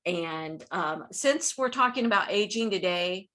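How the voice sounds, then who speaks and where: A woman's voice: medium pitch, lively intonation, unhurried, sharp tone. A woman in her fifties speaking as a podcast host, conducting an interview. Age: 50s.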